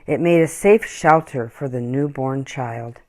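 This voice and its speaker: A woman reading a children's story aloud in an expressive, acted-out storytelling style. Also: very deep voice, a little slow. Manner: animated, acts out dialogue and animal sounds. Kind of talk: expressive scripted storybook narration.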